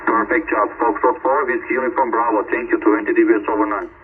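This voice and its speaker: Ham operator, man, quick routine delivery, thin phone-like band, loud static. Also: mid-range voice, steady tone. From Turkey. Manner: brisk, routine callsign phrasing. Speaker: amateur radio operator. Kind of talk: ham radio contact exchange. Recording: hissy shortwave radio signal.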